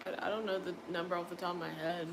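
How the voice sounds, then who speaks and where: Deep-voiced young woman rambling on a livestream. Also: level tone, quick pace, background noise. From coastal North Carolina. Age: young adult. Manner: rambling, filler-heavy, exasperated. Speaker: livestream host. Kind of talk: casual livestream chat reading comments.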